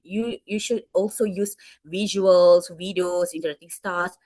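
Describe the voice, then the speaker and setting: Malaysian woman teacher, halting answers. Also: on the low side, steady tone, mellow, dry recording. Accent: Malaysian English. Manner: hesitant, many fillers and restarts. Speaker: English teacher interviewed by students. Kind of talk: answering interview questions.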